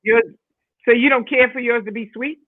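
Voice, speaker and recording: A woman's voice: on the high side, fairly even intonation, moderate pace, slightly rough, no echo. A woman from the Bronx co-hosting a cooking show, chatty and jokey in casual talk over a video call. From the Bronx, New York. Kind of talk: casual chat. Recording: tinny phone-like line.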